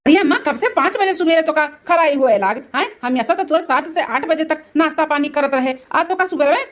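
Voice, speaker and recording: A woman's voice: high voice, fast talker, natural voice. A woman voicing a good-natured young bridegroom in a cartoon, pleading and insistent, with an Awadhi accent. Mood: puzzled, protesting. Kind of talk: cartoon voice acting.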